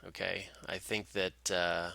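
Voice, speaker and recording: Male analyst, lowish pitch with a very sharp, bright edge, informal tutorial delivery, close and dry. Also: level tone, slow, creaky, no room sound. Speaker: market analyst presenter. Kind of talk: informal screen-share chart commentary.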